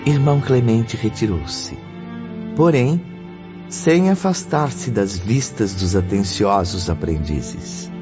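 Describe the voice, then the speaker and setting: An actor playing a solemn narrator of a radio drama, telling the story in a formal, deliberate manner. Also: male voice, lowish voice, expressive. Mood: solemn, expressive. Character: solemn male storyteller addressing the listener. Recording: dull lo-fi audio. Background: background music.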